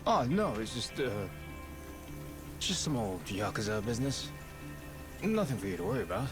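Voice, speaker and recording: A man's voice: medium pitch, sing-song, normal speed, over music, close to an everyday voice. A man playing a pragmatic, wary yakuza henchman in a video game, speaking casually in dry tough-guy slang. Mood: nervous, evasive. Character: pragmatic, wary adult male yakuza underling. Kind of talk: video game cutscene acting.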